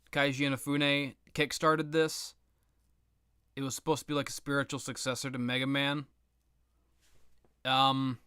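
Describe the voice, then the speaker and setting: A man's voice: medium pitch, pushed and bright, smooth, no echo, studio quality. A man giving casual, unscripted opinion commentary on video games in a blunt, sardonic, sweary way. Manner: blunt, sardonic, profane ranting. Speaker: video game commentator, host.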